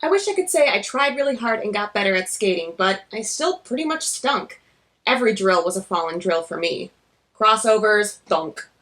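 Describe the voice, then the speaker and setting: A woman's voice: medium pitch, lively intonation, moderate pace, fairly bright tone, lightly acted. A woman reading a graphic novel as a nervous, self-deprecating girl who narrates in a wry, glum way.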